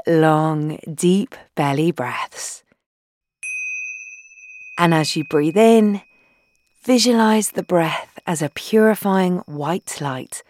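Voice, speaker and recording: Slow, soothing woman guiding a meditation. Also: lowish voice, dry recording, studio quality. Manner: soothing, gentle, unhurried, phrases broken by long pauses. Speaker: guided meditation leader, founder. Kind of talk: guided meditation.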